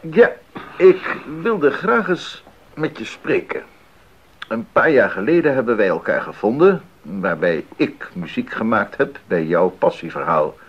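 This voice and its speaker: Slow, expressive, earnest composer. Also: male voice, lowish voice, slightly rough, heavily acted. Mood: thoughtful, earnest. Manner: measured, emphatic, formal. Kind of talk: 1980s radio drama acting. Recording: slightly dull 1980s radio recording.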